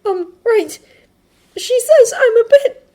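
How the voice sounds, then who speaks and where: A woman voicing an anxious, earnest teenage boy in an audiobook, halting and emphatic. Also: very high voice, expressive, warm tone, pitched above the performer's own voice, exaggerated voice. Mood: embarrassed, earnest. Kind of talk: audiobook character voice.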